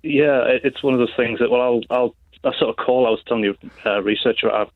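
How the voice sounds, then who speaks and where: A man's voice: medium pitch, steady tone, fast, no echo. Casual male caller on a tinny phone line. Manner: casual, hesitant, with fillers. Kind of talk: phone-in radio chat.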